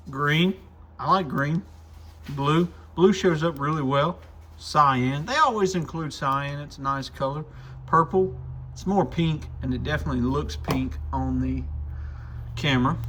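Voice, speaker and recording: Male product-demo host, slightly high pitch, informal think-aloud delivery, faint background noise. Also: a bit bright. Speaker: radio shop reviewer and video host. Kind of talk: casual hands-on product demo.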